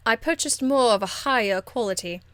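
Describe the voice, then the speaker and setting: A woman performs an aristocratic female mage in a fantasy video game. She speaks formally and with measured condescension, icily polite, in a fairly high voice with a close, dry sound. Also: no room sound, natural voice. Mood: smug. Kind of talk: video game character acting.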